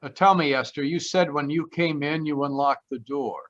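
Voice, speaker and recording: An actor playing a flippant, wry ex-cop private detective in a 1940s-style radio play. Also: male voice, on the high side, normal speed, a bit bright, close to an everyday voice. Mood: dry, probing. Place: remote live performance over video call. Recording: dull, lo-fi video call audio.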